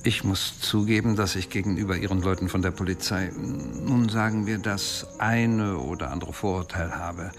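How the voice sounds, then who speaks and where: An actor playing a courteous academic confiding in the police in a German radio crime drama, formal and halting. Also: male voice, deep voice, steady tone, over music. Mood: hesitant confiding.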